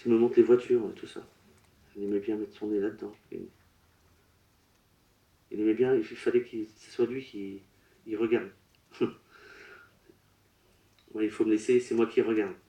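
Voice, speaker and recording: A man working as a medium at a public séance, relaying messages in short, broken, halting phrases. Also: on the low side, level tone, dark tone. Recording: roomy echo.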